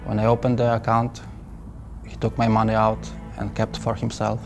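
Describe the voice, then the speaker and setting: A man from Poland tells his story in a low, almost monotone voice, each sentence steady and unhurried. A soft music bed sits under his voice-over. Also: creaky. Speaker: trafficking survivor telling his story. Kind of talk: calm first-person voice-over testimony. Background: soft background music.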